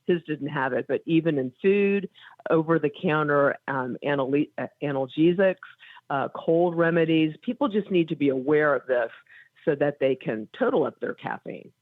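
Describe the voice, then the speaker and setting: A woman's voice: deep voice, expressive, normal speed, no room sound. A woman psychotherapist guest explaining things in a friendly way over the phone, with many fillers. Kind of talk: phone-in interview. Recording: tinny phone line.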